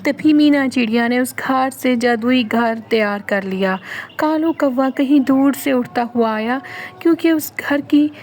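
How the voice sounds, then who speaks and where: High-voiced woman telling a cartoon tale. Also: a little slow, darkish tone, a little hiss or hum. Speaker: storyteller. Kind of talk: scripted cartoon story narration.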